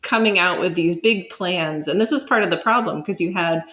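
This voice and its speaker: A woman's voice: fairly low pitch, normal speed, a bit bright. A woman speaking as an expert guest in a conversational interview, explaining thoughtfully and building her points step by step.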